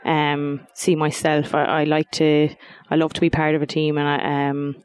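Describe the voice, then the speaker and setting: Brief: woman athlete, smooth monotone voice, modest, stumbling answers. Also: low voice, moderate pace, dry recording. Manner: hesitant, modest, many restarts. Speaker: interviewee footballer. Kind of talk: casual interview answers.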